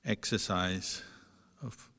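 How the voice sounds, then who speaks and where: A man teaching meditation, giving guided instruction in a measured way with pauses between short phrases. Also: low-pitched, steady tone, bright, forceful tone. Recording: long reverberant hall sound.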